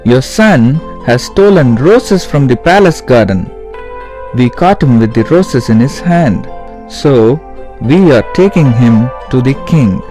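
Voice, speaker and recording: A man voicing an officious palace guard who speaks slowly and emphatically. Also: medium pitch, animated, warm tone, faint music behind. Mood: accusing. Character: blunt palace guard and boastful magician.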